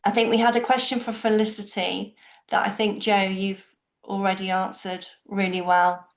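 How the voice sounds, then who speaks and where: A woman moderating questions at an online book launch on a video call, chairing in a brisk, friendly way. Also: mid-range voice, level tone, echoey room. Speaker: event moderator.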